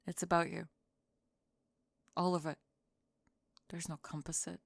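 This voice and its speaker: A woman acts out a prickly young goth bully in an ASMR romance roleplay. Her low voice crackles with fry, close and dry, halting and sinking into whispers as she guards her feelings. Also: level tone, no echo. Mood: resigned, vulnerable. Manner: halting, breathy sighs, drops into whispers. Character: young goth bully woman, defensive, reluctantly confessing love.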